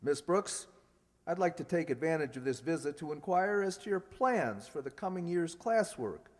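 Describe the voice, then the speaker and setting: Pompous, high-pitched grumpy principal played by a man. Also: expressive, moderate pace. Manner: pompous, blustering, irritable. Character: grumpy, officious middle-aged male school principal. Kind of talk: radio sitcom acting. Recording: echoey hall, long reverb.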